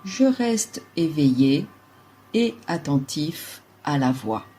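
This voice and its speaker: A woman guides a relaxation meditation as a yoga nidra teacher, giving calm, measured instructions in short phrases. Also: low-pitched, a little hiss or hum.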